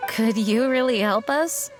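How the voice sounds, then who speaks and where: A woman's voice: fairly high pitch, measured pace, faint music behind, natural voice. A woman voicing a courteous, dutiful young female knight commander in a video game. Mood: polite, grateful. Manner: formal, courteous.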